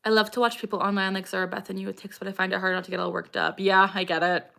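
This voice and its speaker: A young woman in her twenties with a bright, clear voice, hosting a relaxed livestream Q&A. She chats with viewers and greets them one by one, breaking into laughter and sudden vocal tics along the way. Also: mid-range voice, racing. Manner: chatty, greets viewers by name. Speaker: livestream host. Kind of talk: casual livestream Q&A, reading chat aloud.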